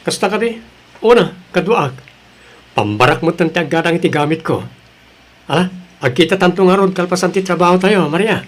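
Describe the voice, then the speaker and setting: A genial, joking male colleague played by an actor with a high voice for a man. He speaks conversationally in an acted audio drama. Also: a little fast, pauses often.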